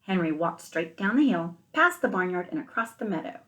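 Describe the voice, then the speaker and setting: A woman teacher reading a picture book aloud to young children, expressively, with gentle questioning asides to the listeners. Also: fairly low pitch, big pitch swings, a little fast.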